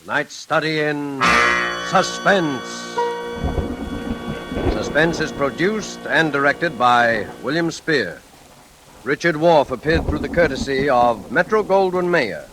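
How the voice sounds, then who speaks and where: A man announcing a 1940s radio show from a script, with dramatic, deliberate pauses. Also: a little high, lively intonation, over music, poor audio. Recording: old radio recording.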